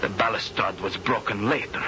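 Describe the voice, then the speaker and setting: A man's voice: on the low side, normal speed, close to an everyday voice. An actor playing an intense, shy, tightly wound Spanish teenage boy in a 1970s American radio drama, earnest and formally phrased. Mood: grim, bitter. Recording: muffled, noisy old radio recording.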